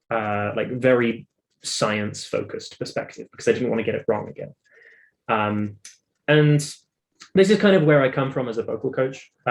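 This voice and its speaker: A man's voice: medium pitch, creaky, rough recording. A British man talking casually in an interview, explaining his own story in a talkative, emphatic way. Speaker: interview guest, singer and vocal coach.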